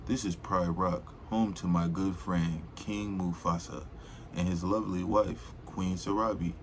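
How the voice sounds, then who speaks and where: A man's voice: low voice, fairly even intonation, measured pace, a little hiss or hum. A man reading a children's storybook aloud in an informal, rambling way, with casual slangy asides.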